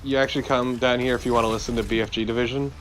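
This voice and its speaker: A man's voice: medium pitch, little pitch movement, rapid-fire, a little hiss or hum, rough recording. A man chatting casually as a co-commentator over video game footage. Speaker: let's play co-commentator.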